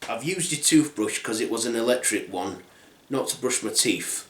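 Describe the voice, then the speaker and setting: A man's voice: high voice, very animated, moderate pace, voice pushed up. Casting: nosy, blunt fairy-tale girl, picky and brazen played by a man, roomy recording. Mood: sheepish.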